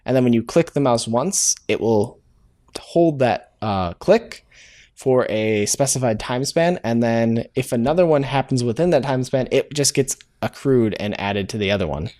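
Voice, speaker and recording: A man walks through code in an easygoing, unscripted way, pausing on filler sounds and correcting himself as he goes. His voice sits at an ordinary middle pitch for a man. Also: lively intonation, a little mellow. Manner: thinks aloud, hesitant, self-correcting with many "uh"s. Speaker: programming tutorial host. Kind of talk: casual live-coding walkthrough.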